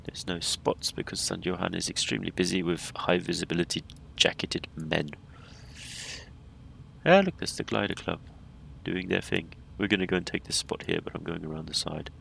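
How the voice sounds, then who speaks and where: A man with a very deep voice and a strong gravelly fry talks casually through a simulated flight. He calls out flaps, speeds and checklist items one by one and drops light, playful asides along the way. A faint background hum sits under his voice. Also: fairly even intonation, normal speed, a bit bright, low-quality recording. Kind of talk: casual gameplay commentary.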